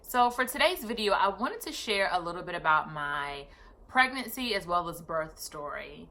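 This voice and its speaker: Chatty low-voiced woman in her thirties. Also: very animated, moderate pace, pushed and bright. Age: 30s. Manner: chatty, corrects herself mid-sentence. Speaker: vlogger. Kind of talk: casual personal vlog storytelling.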